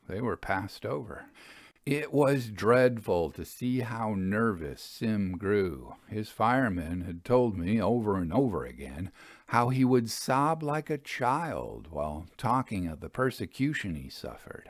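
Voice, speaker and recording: A man with a lowish voice reads a Victorian ghost tale as an audiobook narrator. His delivery is measured, expressive and dramatic, and the recording is dry and close. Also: no echo. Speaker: storyteller, narrator.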